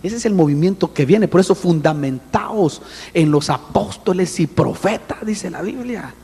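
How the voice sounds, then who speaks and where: A man preaching a sermon with an animated manner, often calling out to his listeners as brother. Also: high-pitched, moderate pace, creaky, breathy edge, big-room sound. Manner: animated, addresses listeners as brother, acts out voices. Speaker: apostle preaching.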